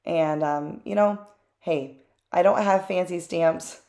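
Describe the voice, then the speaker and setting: A woman's voice: on the low side, slow, dark tone. A woman hosting her own craft channel, narrating a voice-over in a chatty, candid way with plenty of fillers and asides.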